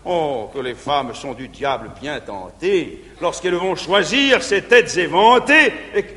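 A man playing a jealous, domineering middle-aged guardian, declaiming verse with loud outbursts and muttered asides. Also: on the high side, lively intonation, unhurried. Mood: scheming. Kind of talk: 1950s stage verse acting. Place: large theatre stage. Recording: echoey 1959 stage recording with faint hiss.

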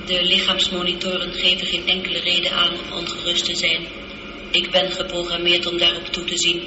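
An actress playing a female spaceship computer in a radio drama, formal, measured, literal and calmly reassuring. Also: fairly low pitch, close to an everyday voice. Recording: dull, noisy, echoing old radio recording.

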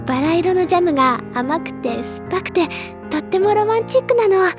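A woman playing a cheerful, dreamy teenage schoolgirl who loves literature, expressive and teasing, in a Japanese audio drama. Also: very high-pitched, a little fast, music in the background. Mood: dreamy delight.

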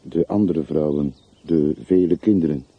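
An actor in a radio drama playing a poor, sober, deferential man with a measured, matter-of-fact way of speaking. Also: very deep voice, breathy edge, dry recording. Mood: solemn, measured. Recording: muffled, narrow-band old radio play recording.

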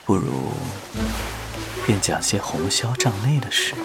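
A man's voice: on the low side, big pitch swings, unhurried, loud music behind the voice. A man playing a proud, roguish male demon, devoted and teasing, with dramatic swaggering delivery in an audio drama. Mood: sly, teasing. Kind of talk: Chinese audio drama acting.

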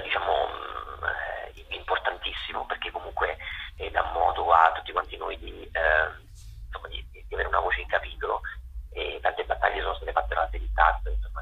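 A man giving halting, repetitive answers as a guest in a radio interview over the phone. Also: low voice, creaky, slightly rough. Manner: halting, with repeated words. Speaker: festival organiser and interview guest. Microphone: phone call to radio studio. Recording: dull, lo-fi telephone line.

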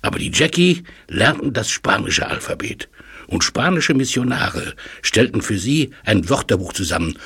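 A man playing a learned, absorbed professor in a German audio drama, musing and explaining with thoughtful pauses. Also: medium pitch, very animated, bright, forceful tone, breathy edge, heavily acted. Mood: lecturing. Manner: musing, lecturing, hesitant pauses. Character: elderly learned male language professor, absorbed and astonished.

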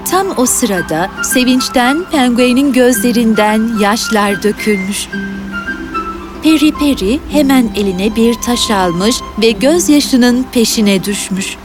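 A woman's voice: a little high, takes it slowly, music in the background. A woman narrator reading a scripted fairy tale in storybook style.

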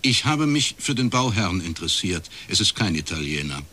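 A dry detective guy for a radio krimi, husky but bright and forward. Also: low-pitched, very animated, normal speed, full character voice. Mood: matter-of-fact, pressing. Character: laconic male East Berlin academic turned private detective. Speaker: private detective narrator. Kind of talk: radio crime drama narration and dialogue.

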